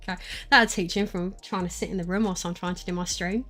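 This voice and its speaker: A woman's voice: on the low side, bright, forceful tone. A woman in her thirties with an Estuary English accent, chatting casually to her live-stream audience from a room at home, rambling with lots of fillers and restarts. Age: 30s.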